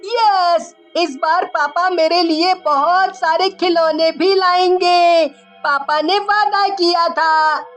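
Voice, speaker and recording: Excited little kid for a story, squeaky-high, eager, performed by a woman. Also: takes it slowly, few pauses, soft background music, full character voice. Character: excited, toy-loving young child.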